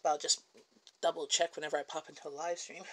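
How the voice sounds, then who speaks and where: Give me a chatty Canadian woman with a somewhat low voice, answering viewer comments from her kitchen. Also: level tone, quick pace. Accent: Canadian English. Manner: laughs often between remarks. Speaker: livestream host. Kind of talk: casual livestream chat. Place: home kitchen.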